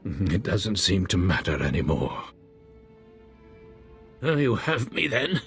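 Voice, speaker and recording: A man playing a wounded defecting military general, weary and fatalistic, with a husky, strained voice in a science fiction game. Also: sits low, sing-song, slow pace, faint music behind, exaggerated voice. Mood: grim resignation.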